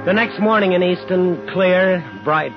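A man's voice: sits high, a little slow, faint music behind. An actor playing a bitter, relentless man in a 1950s radio drama, terse and sardonic. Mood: brooding narration. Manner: terse, sardonic, needling questions. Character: bitter, relentless man home after years, hunting a killer. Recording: muffled, noisy 1950s radio recording.

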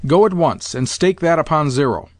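A man's voice: fairly high pitch, lively intonation, moderate pace, no echo, natural voice. A man voicing an imperious, impatient old grandmother in an audiobook, full of commands and exclamations. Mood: impatient, scornful. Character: imperious, excitable old Russian grandmother addicted to roulette. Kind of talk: audiobook character voice.

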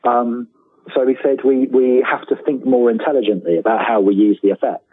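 A husky-voiced man gives a casual interview over a tinny, phone-like line with audible room echo. He is enthusiastic but halting, often restarting a phrase as he explains. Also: medium pitch, steady tone. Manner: hesitant, repeats phrase starts, enthusiastic. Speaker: interviewed guest, author.

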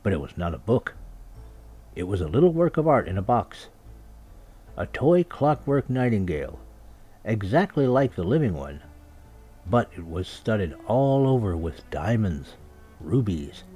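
A man's voice: lowish voice, very animated, unhurried, mellow, slightly rough. A man narrating a scripted children's story as a storyteller. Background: music and birdsong sound effects.

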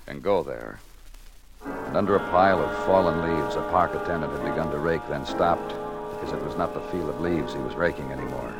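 An actor narrating as a police lieutenant in a 1950s radio drama, terse and poetic in hard-boiled style. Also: male voice, very deep voice, brisk. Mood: grim. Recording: music behind.

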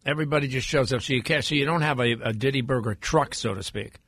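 Bright, forceful male radio host. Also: medium pitch, quick pace, husky, no echo. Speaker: radio talk show host. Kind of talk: casual on-air interview. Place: radio studio. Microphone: close studio microphone.

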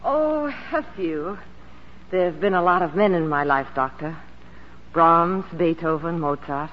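An actress playing a playful, wistful young pianist patient in a 1940s radio drama. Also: female voice, fairly low pitch, measured pace, natural voice. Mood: wistful, teasing. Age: young adult. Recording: muffled, hissy 1940s radio broadcast.

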